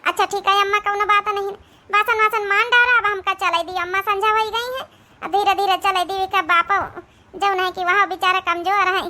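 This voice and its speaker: Woman voicing a very high, plaintive Awadhi young wife. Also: steady tone, moderate pace, sharp tone. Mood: subdued. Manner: plaintive, lamenting. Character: worried, plaintive young married daughter, short of money. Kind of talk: cartoon comedy voice acting.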